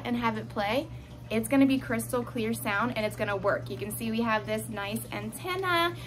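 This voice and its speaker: A woman in her thirties giving a chatty, instructive product demonstration to camera, often checking in with the listener. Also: sits high. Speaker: product reviewer. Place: small indoor room at home, seated.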